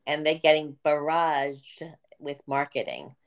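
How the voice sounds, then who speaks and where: A woman with a low voice hosts a business podcast in a casual, talky way. She explains marketing ideas earnestly, with plenty of ums and you-knows. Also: unhurried. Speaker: podcast host and business coach.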